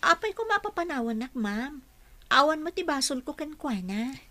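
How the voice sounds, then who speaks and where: A woman's voice: high voice, very animated, moderate pace, pushed and bright, no room sound, exaggerated voice. A woman with an Ilocano accent playing a plucky, defensive young clerk who questions and talks back in a radio drama. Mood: defensive, puzzled.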